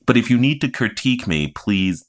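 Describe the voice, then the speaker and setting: A man's voice: mid-range voice, lively intonation, normal speed. A man co-hosting a podcast in casual banter, with a blunt, teasing, sardonic manner. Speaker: podcast co-host.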